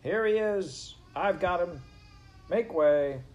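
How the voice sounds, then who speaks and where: A man voicing a bossy, impatient young male mouse in a storybook, loud, urgent and scolding. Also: lowish voice, very animated, a little fast. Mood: loud, self-important.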